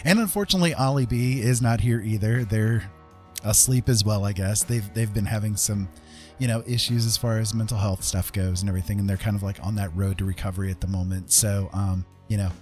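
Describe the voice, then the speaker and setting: A man's voice: fairly low pitch, steady tone, a little fast, darkish tone, soft background music. A man hosting a casual livestream, talking in a chatty, rambling and enthusiastic way. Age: adult, dad. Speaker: livestream host.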